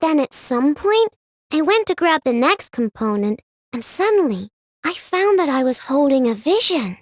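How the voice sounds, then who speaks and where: A woman voicing an excitable, chatty young female inventor who builds robots. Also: high voice, normal speed, no room sound, studio quality. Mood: bubbly. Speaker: game character voice. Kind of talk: recorded game voice lines.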